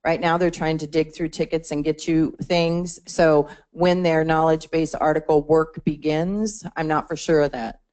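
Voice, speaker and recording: A woman's voice: low-pitched, fairly even intonation, normal speed, few pauses, a little mellow. A woman presenting to a membership meeting, explaining a support portal conversationally, with fillers and restarts. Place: meeting room.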